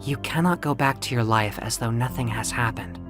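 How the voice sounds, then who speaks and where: A man's voice: medium pitch, a little fast, over music, close to an everyday voice. Man voicing a soothing, playful winged muse woman. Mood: soothing, reassuring. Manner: reassuring, encouraging. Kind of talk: audio drama storytelling.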